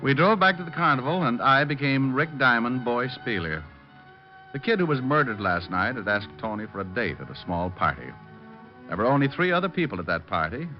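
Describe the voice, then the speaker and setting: Wry private eye, low lively voice, hard-boiled radio drama, muffled old recording. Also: male voice. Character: wisecracking, flirtatious, dry male private detective.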